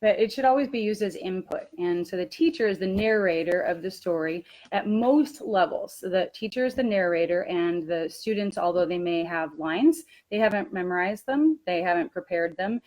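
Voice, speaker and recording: A woman with a fairly low-pitched voice leads an informal training webinar for teachers. She explains practical steps in an instructional, somewhat halting way. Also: a little mellow.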